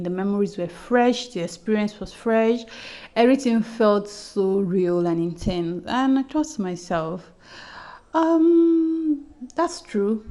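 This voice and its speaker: A woman's voice: medium pitch, darkish tone. Chatty woman with a voice journal. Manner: chatty, repeats herself, frequent restarts. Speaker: podcast host. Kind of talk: casual personal voice journal.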